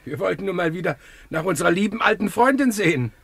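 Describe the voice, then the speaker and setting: Husky, kindly gentleman caller. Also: sits high, animated, fast talker, exaggerated voice. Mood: friendly. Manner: polite, solicitous. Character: kindly, concerned married gentleman visiting a sick friend. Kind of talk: German radio play acting.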